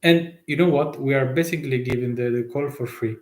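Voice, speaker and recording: A man with an Italian accent, a tax consultant talking live to viewers in halting, restart-filled English with rhetorical questions. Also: medium pitch, a little mellow, reverberant. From Italy. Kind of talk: live stream talk.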